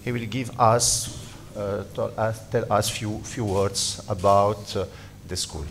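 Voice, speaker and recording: A man's voice: lowish voice, fairly even intonation, slow pace, slight background noise. A man from the organizing committee giving short, hesitant welcome remarks full of fillers before handing the floor to the next speaker. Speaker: organizing committee member opening the conference. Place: conference hall.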